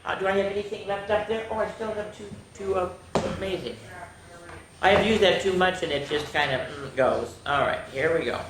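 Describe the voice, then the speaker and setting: A woman reads a picture book aloud for young children in a very echoey room. She is expressive and playful, with chatty comments to her listeners. Faint noise sits behind her voice. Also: lowish voice, a little fast. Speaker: children's story time host. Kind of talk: animated read-aloud of picture books with chatty asides.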